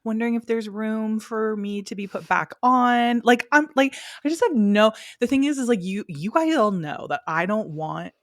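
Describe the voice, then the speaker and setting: A woman's voice: on the high side, lively intonation, moderate pace, hardly any pauses, no room sound, clean studio sound. A young woman co-hosting a podcast, chatting casually with her sister and rambling with lots of "like"s and restarts. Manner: rambling, filler-heavy, many "like"s and restarts. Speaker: podcast co-host. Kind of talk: casual chat between sisters.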